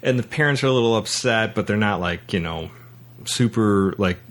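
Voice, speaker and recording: Low-voiced man in casual, halting conversation. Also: fairly bright tone. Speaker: podcast host. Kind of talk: casual film discussion.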